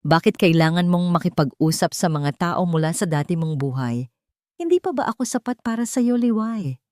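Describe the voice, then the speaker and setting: A possessive Filipino groom, voiced by a woman narrator in an audio storybook. He speaks with measured control and a pointed edge, in a dry, close recording. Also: lowish voice, animated, dark tone, no room sound, lightly acted. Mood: cold, jealous. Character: possessive, controlling wealthy groom, outwardly tender.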